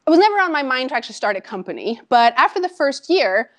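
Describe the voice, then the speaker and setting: A woman in her thirties from Norway with a Norwegian accent, giving a conversational talk to an audience about her startup, animated and full of ums and asides. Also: sits high, fast. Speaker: startup founder giving a lecture. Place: lecture room with an audience.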